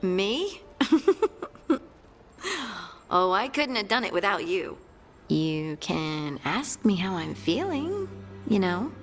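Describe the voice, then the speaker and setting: An actress playing an earnest, grateful female adventurer in a video game, gentle and sincere with a playful side. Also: mid-range voice, very animated, slow, long pauses, soft background music. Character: earnest, warm, grateful female adventurer finding her strength.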